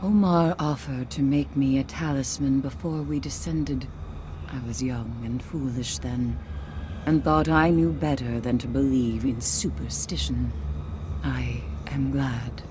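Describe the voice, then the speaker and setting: Haunted female survivor: grave, low-voiced, unhurried game character, mournful and formal, ambient noise behind. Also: fairly even intonation. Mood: mournful, reflective. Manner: solemn, deliberate, formal phrasing. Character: solemn grieving female survivor haunted by lost friends. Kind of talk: video game cutscene acting.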